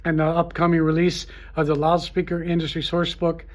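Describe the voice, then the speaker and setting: A man with a slightly high voice promotes his trade show as its host. He talks off the cuff in an informal way, halting and circling back to repeat his points like a salesman working through a pitch. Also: monotone. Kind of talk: informal unscripted promotional talk to camera.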